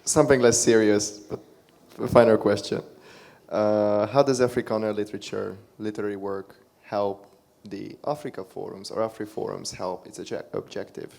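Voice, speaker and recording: A man moderating a panel discussion, asking questions in a hesitant, halting way. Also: fairly low pitch, steady tone, creaky, darkish tone. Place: conference hall.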